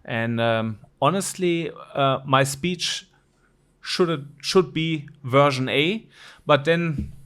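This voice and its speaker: Middle-aged man, unhurried and halting, clean clear voice, casual interview guest. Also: mid-range voice, a bit bright. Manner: halting, many ums and restarts. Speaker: guest, managing director.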